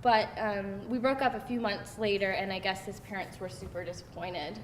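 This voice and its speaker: A woman's voice: medium pitch, moderate pace, close to an everyday voice. A woman acting a candid, wry young woman telling stories in a live screenplay reading. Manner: animated, hesitant storytelling peppered with laughter. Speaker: actor at a screenplay table read. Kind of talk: conversational live script reading. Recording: echoey room with faint noise.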